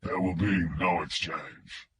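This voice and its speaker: A man voicing a menacing male kidnapper who speaks through a voice filter, with slow, cold, flat threats. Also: low voice, slightly rough, dry recording, pitched below the performer's own voice, heavily acted. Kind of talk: audiobook narration.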